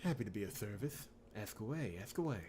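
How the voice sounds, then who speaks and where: A man's voice: lowish voice, lively intonation, a little fast, background noise, lightly acted. A man voicing a smug, cryptic male character who taunts, as in a visual novel. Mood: smug, taunting.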